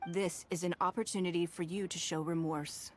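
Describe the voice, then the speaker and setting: A woman's voice: lowish voice, steady tone, lightly acted. A woman voicing a shrewd, poised noblewoman in an anime game, composed and formal, firm but kindly. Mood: stern, cool. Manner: composed, formal, measured authority. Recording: music behind the voice.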